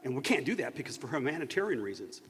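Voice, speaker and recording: A man telling a story casually on a convention stage, in a halting, rambling way with many false starts, recorded in an echoey hall. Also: mid-range voice, very animated, a little hiss or hum. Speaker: panelist recounting unproduced TV episodes.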